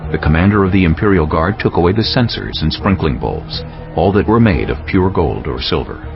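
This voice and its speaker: Very deep, dramatic male scripture narrator. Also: animated, gravelly. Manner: solemn, deliberate, dramatic phrasing. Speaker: audiobook narrator. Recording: dull lo-fi audio, music bed. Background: prominent background music.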